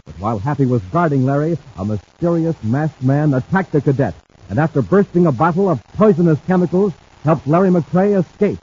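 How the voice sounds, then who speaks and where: An actor playing a hearty, dramatic space serial announcer, punchy and emphatic, in 1950s radio style. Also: male voice, fairly high pitch, expressive, brisk, darkish tone, breathy edge. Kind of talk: 1950s radio ad and story narration. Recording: muffled, noisy old radio recording.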